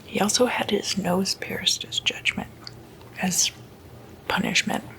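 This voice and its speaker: A woman hosts an ASMR talk in a bright, close whisper with a noticeable crackle of vocal fry. She takes her time, laying out short, halting phrases in an informal lecturing style. Her intonation stays quite even throughout. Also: medium pitch. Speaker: ASMR host.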